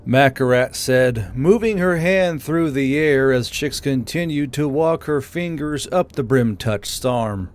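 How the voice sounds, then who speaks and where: A man's voice: medium pitch, unhurried, few pauses. A man reading scripted third-person narration for a fantasy audiobook.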